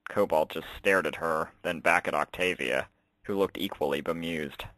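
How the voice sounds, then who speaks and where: A man's voice: low voice, normal speed, fairly bright tone, no echo, low-quality recording. A man reading scripted story narration.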